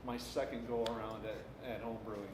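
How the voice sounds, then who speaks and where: Middle-aged male panelist, craft brewer, halting and self-deprecating, echoey and noisy live recording. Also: mid-range voice, level tone. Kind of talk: casual panel talk. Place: indoor room at a live panel event. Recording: echoey room, clearly noisy live stream.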